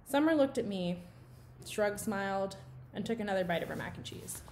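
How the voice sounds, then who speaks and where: A woman reading a children's novel aloud in an expressive storytelling style. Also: medium pitch, normal speed, a little hiss or hum.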